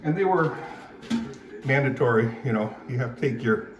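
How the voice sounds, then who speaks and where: A man in his seventies, born in Indonesia, talking in a rambling, halting way, full of fillers and frequent throat clearing. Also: mid-range voice, animated, highs cut off, loud music behind the voice. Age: 70s. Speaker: vlogger. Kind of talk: rambling casual monologue.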